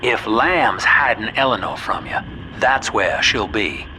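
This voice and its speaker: A man's voice: on the low side, lively intonation, unhurried, bright, forceful tone, slight background noise, heavily acted, rough recording. An actor playing a folksy, fatherly older businessman who guides the player in a video game, with a drawling delivery. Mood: confiding, advising. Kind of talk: scripted video game voice acting.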